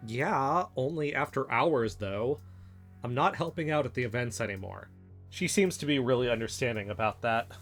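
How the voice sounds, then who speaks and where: Audio drama role: skeptical, dry-witted male investigator, played by a man, medium pitch, conversational, guitar bed. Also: moderate pace, a bit bright, lightly acted. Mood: guarded. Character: suspicious, sardonic, guarded man, an amateur investigator. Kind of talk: naturalistic audio drama dialogue.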